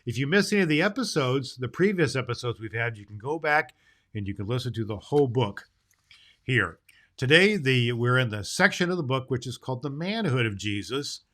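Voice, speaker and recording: A man's voice: medium pitch, lively intonation, fairly quick, bright, forceful tone. A man playing a jolly, kindly Santa Claus, chatting to children in a folksy, rambling way on a festive storytelling podcast. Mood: kindly, chatty.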